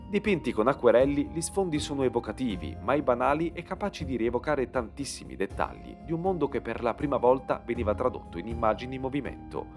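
A man narrating a scripted video essay with emphatic, dramatic phrasing. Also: mid-range voice. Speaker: narrator and channel host. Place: voice-over recording. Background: background music.

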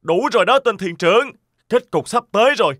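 Cartoon boy hero, brave and earnest, bright forward tone, very dry sound. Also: sits high, lively intonation, brisk, no room sound. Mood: defiant. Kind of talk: dubbed children's cartoon acting.

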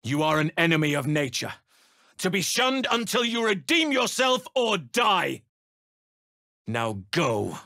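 An actor playing a burly middle-aged male elf druid in a fantasy game, earnest and gentle, with measured, formal phrasing. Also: on the high side, sing-song, no echo, clean studio sound, heavily acted. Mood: angry, indignant. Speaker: video game character actor.

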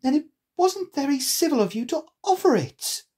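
A woman plays a prim, curious little girl from a storybook. The voice is lifted high and swings freely in pitch, earnest and quick to take offence, with a slightly husky edge. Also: sing-song, mellow, exaggerated voice. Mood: indignant.

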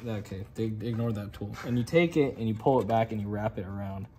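A man's voice: lowish voice, brisk, darkish tone. A young American man working as a handyman helper, explaining things casually and jokingly to a friend. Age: young adult. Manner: joking, informal. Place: garage, leaning over truck engine bay. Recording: noisy garage, handheld camera sound.

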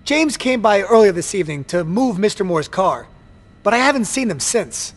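A man's voice: high voice, sing-song, moderate pace. An actor voicing a nervous, servile vampire garage attendant in a video game, pleading and evasive in his manner. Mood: eager, explaining. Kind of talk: video game cutscene acting.

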